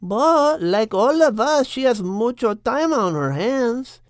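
A man voicing a placid, chatty female cow in a storybook, with an unhurried delivery. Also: very high-pitched, animated, smooth, dry recording, clean studio sound, voice pushed up, heavily acted.

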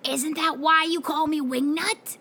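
Woman doing an excitable, high-pitched adventurous boy. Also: unhurried, full character voice. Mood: secretive, proud. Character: excitable adventurous boy nicknamed Wingnut, daring and spooked.

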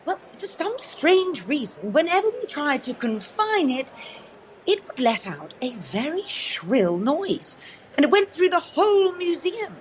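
A woman's voice: high voice, big pitch swings, a little slow, low-quality recording. A woman playing a museum tour guide in an audio drama, presenting in a scripted, showy way. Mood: flustered. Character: polished museum tour guide, easily flustered. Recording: faint background ambience noise.

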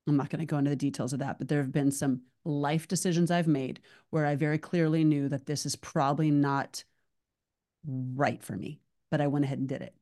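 A woman with a low voice hosts a podcast in easy conversation, chatty and prone to rambling tangents. Her speech is loose and enthusiastic, full of asides. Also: fairly even intonation, fairly quick, warm tone. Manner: chatty, rambling, self-described tangent talker. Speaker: podcast host.

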